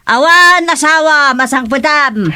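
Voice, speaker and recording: An actress playing a suspicious, scolding woman in an Ilocano radio comedy, with an Ilocano accent and a sarcastic, drawn-out delivery. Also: high-pitched, animated, bright, forceful tone, a little hiss or hum, exaggerated voice. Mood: scornful.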